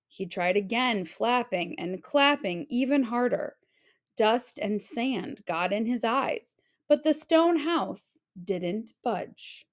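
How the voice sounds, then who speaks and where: A woman reads a children's story aloud. Her voice sits fairly high, and she takes her time, narrating in an expressive, deliberate way.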